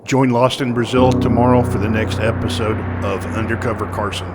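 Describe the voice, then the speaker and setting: A man's voice: mid-range voice, fairly even intonation, fairly quick, heavy background noise. A man reading a scripted promotional announcement as a channel announcer. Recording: loud music under the voice.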